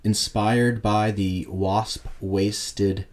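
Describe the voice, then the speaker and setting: A man's voice: lowish voice, very slow. Jokey man co-hosting a podcast. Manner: jokey, animated storytelling. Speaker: podcast co-host. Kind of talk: casual chat.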